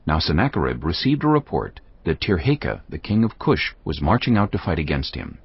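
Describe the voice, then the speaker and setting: Deep gravelly male Bible narrator. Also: big pitch swings, a little fast. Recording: dull lo-fi audio.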